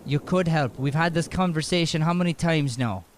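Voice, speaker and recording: A man's voice: fairly high pitch, normal speed, slight background noise, lightly acted. A man playing a flustered, scolding Irish schoolteacher in improvised radio comedy, repeating himself and tripping over his words. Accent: Irish, put on. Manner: stammering, repetitive, exasperated. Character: flustered, scolding male schoolteacher, defensive and obsequious.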